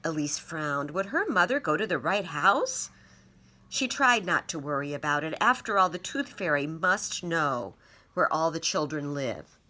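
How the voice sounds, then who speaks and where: A woman reading a children's story aloud as an expressive narrator. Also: sits low, measured pace. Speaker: storybook reader.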